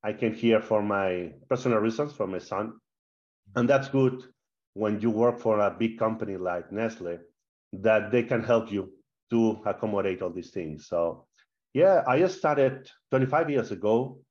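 A man's voice: on the low side, unhurried, dull audio. Spanish-accented man telling stories. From Spain. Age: middle-aged. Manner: animated, with frequent fillers and repeated words. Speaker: guest. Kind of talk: casual interview storytelling.

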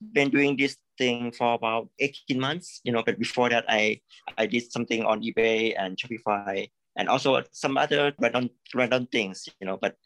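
A man from Thailand, a creative consultant, speaking in a halting way, repeating words and saying "you know" often. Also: mid-range voice, fairly bright tone, dry recording, poor audio. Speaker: coaching client, creative consultant. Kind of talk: live coaching session.

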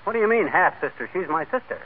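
An actor playing a folksy, blustering husband full of corny wisecracks in a 1940s radio comedy. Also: male voice, high-pitched, lively intonation, quick pace. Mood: blustery, joking. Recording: noisy, tinny 1940s radio broadcast.

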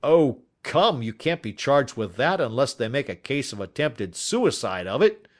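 A man voicing a blunt, jovial veteran police inspector with a loud, joking manner. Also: medium pitch, big pitch swings, measured pace, pitched above the performer's own voice.

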